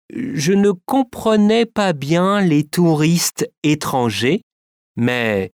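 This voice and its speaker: A man giving a careful, clearly articulated scripted reading for a language course. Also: a little high, no room sound, studio quality. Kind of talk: slow scripted reading for learners.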